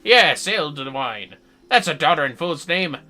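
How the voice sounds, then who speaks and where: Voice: mid-range voice, expressive, unhurried, pushed and bright. A streamer with an androgynous voice playing a scornful, talkative fantasy treasure digger, reading game dialogue with mocking emphasis and folksy archaic phrasing. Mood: scornful, amused.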